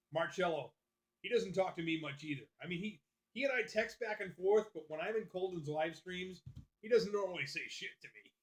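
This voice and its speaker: A man's voice: sits high, moderate pace. Profane, chatty man hosting a livestream. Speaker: livestream host. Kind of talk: casual live-stream chat, reading out viewer comments.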